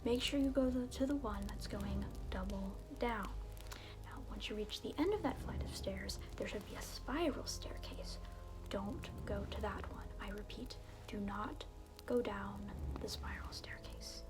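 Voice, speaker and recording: A woman voicing an evil alter ego who keeps the keys of hell, whispering in a soft, teasing and menacing way for an ASMR roleplay, with music under her voice. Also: medium pitch, a little slow, lightly acted. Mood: whispered taunting.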